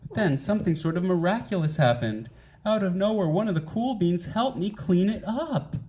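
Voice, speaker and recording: Man reading as a mellow, wistful young bean. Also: high-pitched, animated, moderate pace, slight background noise, pitched above the performer's own voice. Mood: earnest, hopeful. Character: uncool young male bean, self-deprecating, wistful narrator. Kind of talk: children's picture book read aloud.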